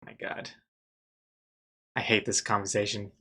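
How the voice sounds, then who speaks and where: Man, thirties, livestream artist, relaxed running commentary, self-mocking jokes. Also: lowish voice, measured pace, breathy edge. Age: 30s. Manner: chatty, self-mocking, replies to chat. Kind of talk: casual commentary while drawing on stream.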